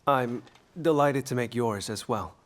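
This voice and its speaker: An actor playing a courteous, unsure young prince in a fantasy game. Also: male voice, medium pitch, measured pace, natural voice. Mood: polite. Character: polite, hesitant young prince, son of the ruler. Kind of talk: cinematic fantasy game acting. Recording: music behind.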